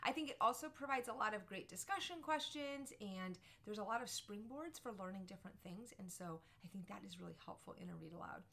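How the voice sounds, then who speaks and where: A woman's voice: mid-range voice, brisk, gravelly, hardly any pauses, bright, forceful tone. A woman in her thirties presenting a book recommendation to camera with an emphatic, storytelling delivery. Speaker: book review channel host for parents and teachers. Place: small furnished room with bookshelves, indoors.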